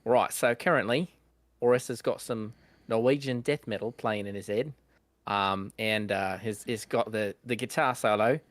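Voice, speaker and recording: A man of middling pitch describes his character's actions in a tabletop game, rambling and playful with many fillers. The recording is dry and close. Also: moderate pace, no echo. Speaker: player. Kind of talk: casual in-character play description.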